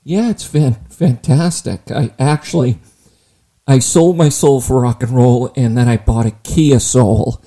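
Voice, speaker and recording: A man hosting a casual interview, full of wisecracks and quick asides. His voice is mellow and darker in tone, and he sits at a middle pitch for a man. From Ireland. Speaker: podcast host. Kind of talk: joking interview chat.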